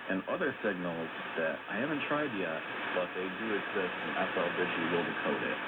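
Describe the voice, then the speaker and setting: A man presenting a radio segment as a casual, conversational explainer, heard over shortwave radio. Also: deep voice. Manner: informal, conversational. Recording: noisy, dull shortwave radio reception.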